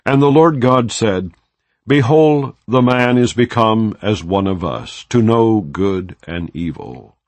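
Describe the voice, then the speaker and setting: A man with a fairly low voice reads scripture aloud with solemn, measured phrasing. His pitch rises and falls freely through each verse. The sound is dry and close, with no room around it. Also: no echo. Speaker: Bible reader.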